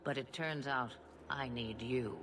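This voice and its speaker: A woman's voice: a bass voice, a little slow, background noise. An actress playing an ancient, cryptic witch in a video game, an older woman with a theatrical, musing, teasing manner. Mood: musing, sly.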